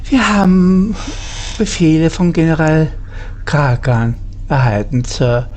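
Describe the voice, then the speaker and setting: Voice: mid-range voice, slow pace, darkish tone. Starship crew dialogue, scripted sci-fi role-play read in character, faint ambient noise behind.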